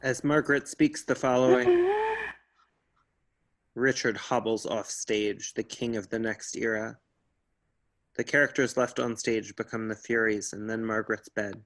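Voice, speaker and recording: A man's voice: on the low side, fairly even intonation, unhurried, pauses often. A man reading scripted stage directions as the narrator of a play reading. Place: remote video-call staged reading.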